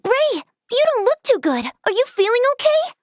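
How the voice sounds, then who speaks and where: A woman's voice: very high-pitched, very animated, moderate pace, no room sound, clean studio sound, pitched above the performer's own voice. An actress voicing a chirpy, caring young girl, fussing in a sing-song way, for a video game. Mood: chirpy concern. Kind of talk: video game character impression.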